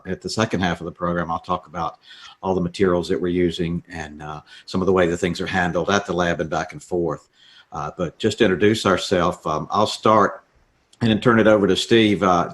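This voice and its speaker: A man's voice: deep voice, fairly bright tone. An older man, co-hosting a dental webinar in a folksy, upbeat way with frequent fillers. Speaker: dental lab expert and webinar co-host. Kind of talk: casual webinar introduction.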